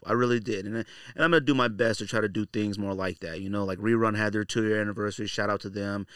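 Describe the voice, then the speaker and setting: A man's voice: on the low side, a bit bright, clear, resonant tone, no echo, clean studio sound. An American man hosting a podcast solo, talking casually in a slangy, conversational, emphatic way. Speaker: sneaker podcast host.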